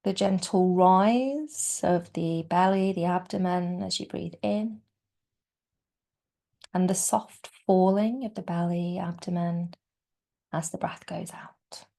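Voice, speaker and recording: A woman leading a guided yoga nidra meditation in a soft, soothing, unhurried way, often trailing off into a breathy near-whisper. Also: lowish voice. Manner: soft, soothing, unhurried delivery with gentle pauses.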